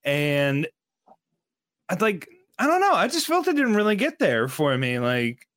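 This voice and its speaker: A man's voice: fairly high pitch, huge pitch swings, a little slow, fairly bright tone, no echo, studio quality. A man on a roundtable podcast panel, speaking hesitantly with many fillers and trailing thoughts. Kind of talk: casual roundtable chat.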